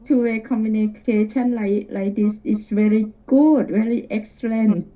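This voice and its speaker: A woman's voice: a little high, fairly even intonation, unhurried, warm tone, muffled recording. A woman with a non-native English accent speaking as a caller, hesitant and searching for her words, over an internet call. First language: not English. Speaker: caller, air traffic controller. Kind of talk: casual conversation in learner English. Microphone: internet video call.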